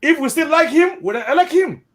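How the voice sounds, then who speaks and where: South sudanese guy, high voice that jumps around a lot, repeats himself, casual chat. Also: a little fast. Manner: animated, repeats words, mixes in Arabic phrases. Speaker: interviewee. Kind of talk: casual spoken commentary.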